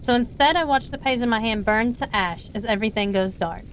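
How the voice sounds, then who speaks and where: A woman's voice: fairly high pitch, brisk, a little hiss or hum, rough recording. A woman streaming a game live, reading visual novel lines aloud as she plays. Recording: game music behind the voice.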